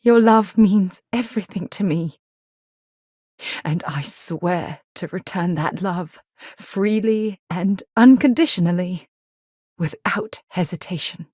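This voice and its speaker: A woman voicing a composed, principled space explorer in a video game, with articulate, measured, formal phrasing and a husky voice. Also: on the low side, a little mellow, no room sound, clean studio sound. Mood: tender, heartfelt. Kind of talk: video game companion voice lines.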